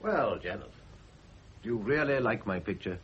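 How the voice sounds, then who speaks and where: A man acting in a radio drama as a wealthy middle-aged gentleman art lover, urbane, flippant and a little pompous, with a teasing way of talking. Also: on the low side, lively intonation, moderate pace, lightly acted. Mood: flippant, jovial. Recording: noisy, muffled vintage radio recording.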